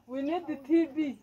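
Slow, high-voiced woman giving a garden tour. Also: warm tone, slight background noise. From Africa. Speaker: vlogger, stay-at-home mother. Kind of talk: casual garden tour narration. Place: outdoors in a lush garden plot.

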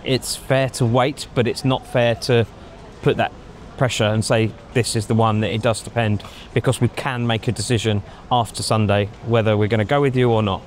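A middle-aged man giving measured opinions in a casual outdoor chat, thinking aloud with frequent ums, on a lapel mic. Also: fairly low pitch, fairly even intonation, a little hiss or hum. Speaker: pundit. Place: outdoors in a racing paddock at night. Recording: faint outdoor street ambience.

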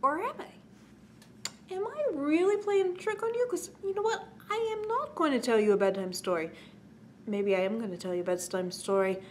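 A woman's voice: high voice, normal speed, darkish tone. A woman in her forties hosting a children's story time, talking to young listeners with exaggerated, prompting questions. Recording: clear background noise.

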